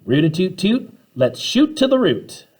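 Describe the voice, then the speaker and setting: Guy doing a quirky unflappable lady teacher from a kids storybook, mellow but swooping all over. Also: high-pitched, sing-song, measured pace, breathy edge, pitched above the performer's own voice, heavily acted. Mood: bright, gleeful. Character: eccentric, upbeat, unflappable woman schoolteacher. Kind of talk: children's storybook read-aloud.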